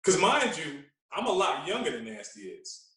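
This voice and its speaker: A man talking casually as a podcast guest, telling stories in an animated way and repeating phrases for emphasis. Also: on the high side, moderate pace. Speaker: guest, veteran radio personality. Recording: echoey room, distant from mic.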